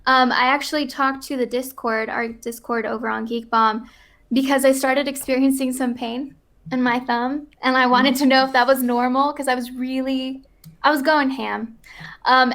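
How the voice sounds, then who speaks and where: A woman panelist on a casual gaming chat show, talking in a bubbly, excitable and self-mocking way. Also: high-pitched, normal speed. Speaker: regular panelist. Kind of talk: casual chat about games.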